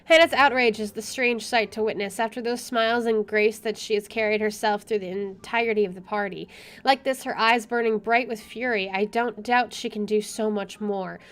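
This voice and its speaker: A woman's voice: mid-range voice, steady tone, normal speed, fairly bright tone. A woman game streamer reading visual novel narration aloud and adding casual commentary. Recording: game music behind.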